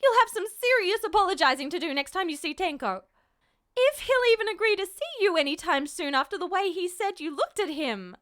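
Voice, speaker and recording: A woman's voice: very high-pitched, animated, a little fast, fairly bright tone, pitched above the performer's own voice. A woman voicing a distraught, exasperated mother in a fan fiction audiobook, scolding with emphatic, reproachful delivery. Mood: upset, scolding. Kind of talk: acted dialogue in an audiobook-style fan fiction reading.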